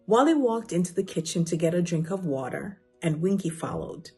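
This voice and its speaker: A woman narrating a children's storybook in a measured read-aloud manner. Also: fairly low pitch, lively intonation, a little mellow, breathy edge, faint music behind.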